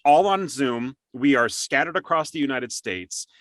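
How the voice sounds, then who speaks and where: A man's voice: medium pitch, lively intonation, normal speed, no echo. A man hosting a casual remote interview in an encouraging, appreciative way, speaking close to the mic. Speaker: interview show host.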